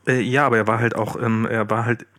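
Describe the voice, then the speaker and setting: A man co-hosting a podcast, talking casually and haltingly as he searches for words. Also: fairly low pitch, level tone, fairly bright tone, dry recording. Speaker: podcast co-host. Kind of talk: casual discussion.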